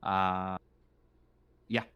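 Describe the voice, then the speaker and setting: A man's voice: low voice, little pitch movement, extremely slow, a bit bright, smooth. A man speaking as game master of a tabletop roleplaying game, casual, informal and joking.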